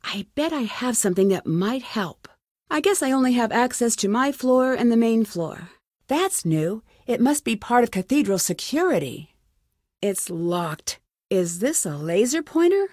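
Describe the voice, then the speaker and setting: A woman's voice: fairly high pitch, sing-song, a little slow, darkish tone, no room sound. A plucky curious girl detective in a pc game, talking herself thru clues, earnest, dry studio sound. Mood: thoughtful. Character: inquisitive, plucky young female amateur sleuth. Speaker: lead character in a detective adventure game. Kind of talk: scripted game dialogue, thinking aloud.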